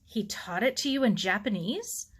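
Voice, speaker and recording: A woman voicing a gruff, blustery, disapproving middle-aged uncle in a story. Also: mid-range voice, very animated, normal speed. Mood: skeptical.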